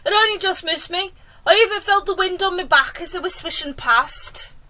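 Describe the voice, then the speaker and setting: A cowardly little storybook earthworm, done by a female reader, bright and high. It wails, pleads and complains miserably. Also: normal speed. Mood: miserable wailing. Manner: wailing, pleading.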